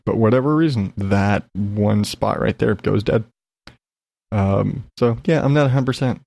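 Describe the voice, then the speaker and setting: A man's voice: lowish voice, measured pace, gravelly, a little mellow, no echo. A man hosting a solo podcast, chatting in a rambling, frank, sweary way, slightly congested as he gets over an illness. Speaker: podcast host. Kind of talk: casual solo chat, rambling personal update.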